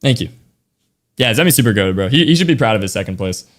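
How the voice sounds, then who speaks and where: A young man with a slightly raspy voice, worn out after a long stream, chatting casually to his viewers and thanking donors with lots of slang. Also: on the low side, expressive, quick pace. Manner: loose, thanks donors, slang-heavy, trails off. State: tired, voice getting raspy. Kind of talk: casual livestream chat to viewers.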